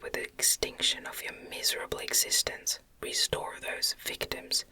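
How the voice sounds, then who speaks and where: An anguished, vengeful man of science from a gothic audiobook, whispered by a woman. The voice is all breath, bright and hissing. The archaic lines are declaimed slowly, each phrase set apart. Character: anguished, vengeful male scientist cursing his creation.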